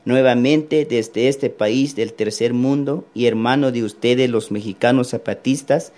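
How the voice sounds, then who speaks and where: A man reading listener letters aloud on the radio in a steady, formal way. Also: mid-range voice, unhurried.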